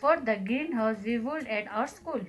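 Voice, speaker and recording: A woman's voice: a little high, moderate pace, faint music behind. A woman reading a children's bedtime story aloud. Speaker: story reader.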